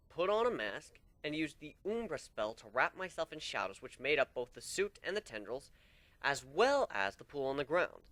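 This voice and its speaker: A man voicing a smug, unhinged male griffin who lectures his students in a boastful, lively way. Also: medium pitch, no echo. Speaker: instructor.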